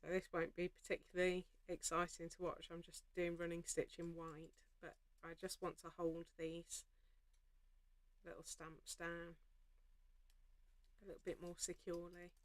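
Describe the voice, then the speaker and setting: Low-voiced woman teaching stitching live. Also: monotone, normal speed, no room sound. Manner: practical, reassuring, thinks aloud while stitching. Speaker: host of a live stitch-along. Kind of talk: casual live craft demonstration.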